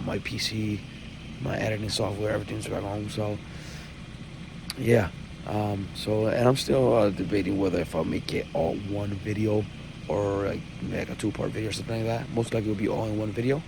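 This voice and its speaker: A man's voice: fairly low pitch, steady tone, normal speed, vocal fry. A young man losing his voice, talking hesitantly to camera with many ums and uhs in a casual vlog. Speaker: vlogger. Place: outdoors then at an airport. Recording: loud background noise.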